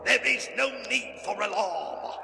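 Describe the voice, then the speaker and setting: A man's voice: high voice, expressive, unhurried, gravelly, heavily acted. A man playing a hearty, blustery ship's captain full of nautical talk in a comic children's radio drama. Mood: official, projected. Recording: 1980s LP record, long reverb, music behind.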